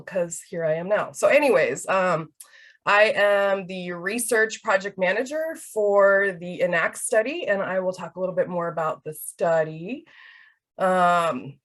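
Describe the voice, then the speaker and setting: A woman in her thirties from Hawaii, presenting a webinar in a casual, chatty way with many fillers. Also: mid-range voice. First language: Hawaiian Pidgin. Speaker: research project manager.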